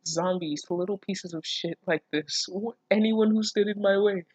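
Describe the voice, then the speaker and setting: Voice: medium pitch, normal speed, darkish tone, no room sound. A person reading fan fiction aloud casually, breaking off with mocking, incredulous, sweary commentary. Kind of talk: casual reaction reading with sarcastic asides.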